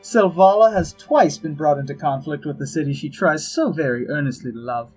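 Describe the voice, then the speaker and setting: An actor playing an urbane, wry, scheming ambassador in a fantasy audio drama, droll, precise and pompous, with a husky voice. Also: male voice, fairly high pitch, moderate pace, darkish tone. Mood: smooth, calculating. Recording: music behind the voice.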